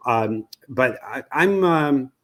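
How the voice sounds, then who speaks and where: An American man giving conversational, analytical interview answers as an expert guest, thoughtful and halting, often restarting his phrases. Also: medium pitch, animated, fairly bright tone. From United States. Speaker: media research executive, interview guest.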